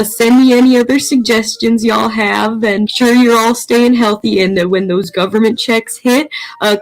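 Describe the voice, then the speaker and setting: A woman playing an eccentric, cheerful big-cat keeper in a comedy crafting video, halting and folksy as she addresses viewers. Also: a little high, rough recording. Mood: cheery sign-off.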